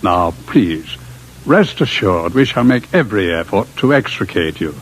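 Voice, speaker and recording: Casting: a courtly, hesitant senior diplomat, husky and animated, vintage sci-fi radio play. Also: male voice, deep voice, normal speed. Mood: smooth, reassuring. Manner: suave, courteous, hesitant, formal. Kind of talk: 1950s radio drama acting. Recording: muffled vintage radio broadcast.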